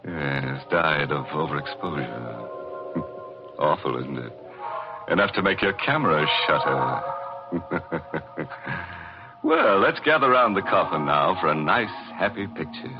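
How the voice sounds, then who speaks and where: Deep-voiced, ghoulish radio horror host. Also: male voice, sing-song, exaggerated voice. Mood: ghoulish mirth. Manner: sardonic, drawn-out, theatrical delivery. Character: ghoulish, gleeful, mock-sinister male host of a horror show. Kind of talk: 1940s radio horror hosting with ghoulish puns. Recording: muffled vintage 1940s radio, organ music.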